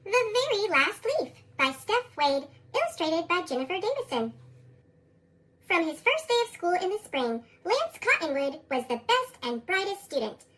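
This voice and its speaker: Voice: extremely high pitch, moderate pace. An American narrator reading children's stories expressively, through a sped-up chipmunk voice effect. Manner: animated, expressive. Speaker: storybook narrator. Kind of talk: scripted children's read-aloud narration.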